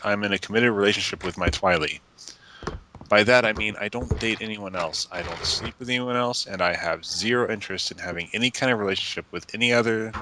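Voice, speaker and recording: A man's voice: lowish voice, bright, forceful tone. A man reading a letter aloud in an earnest, emphatic manner. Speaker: reader of a letter to friends.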